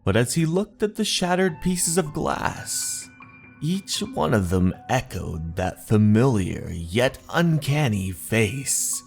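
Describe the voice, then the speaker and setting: A man narrating a scripted horror story in a deliberate, suspenseful, dramatic manner over music. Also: medium pitch, very animated, vocal fry. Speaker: narrator of horror manga stories. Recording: music under the voice.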